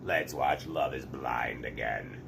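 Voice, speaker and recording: Storybook toad housemate, withdrawn and irritable, deep slow grumble male reader acting it out. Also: creaky, noisy background, exaggerated voice. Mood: gloomy. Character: anxious, grumpy, weary male toad housemate.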